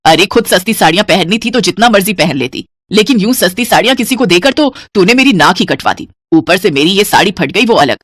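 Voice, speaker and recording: A scolding older mother-in-law from a storybook cartoon, as a woman narrator puts her on. Her voice sits low and heavy, the delivery swings widely and sounds dry and close-miked with no room around it. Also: very animated, fairly quick, no room sound. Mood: furious. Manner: lower, heavier voice than the narration.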